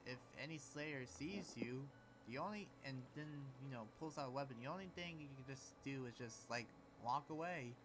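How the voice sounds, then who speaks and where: A man's voice: medium pitch, moderate pace, a bit bright, lightly acted, low-quality recording. A man playing an earnest, trusting demon researcher, hesitant and rambling, in an improvised Minecraft anime roleplay. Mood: cautious. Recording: clear background noise and ambience.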